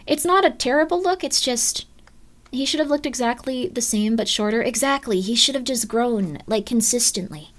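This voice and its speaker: Chatty young woman streamer, bouncy pitch. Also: normal speed. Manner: rambling, lots of "like" fillers, laughs often, swings up and down in pitch. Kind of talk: casual live-stream chat reading viewer comments.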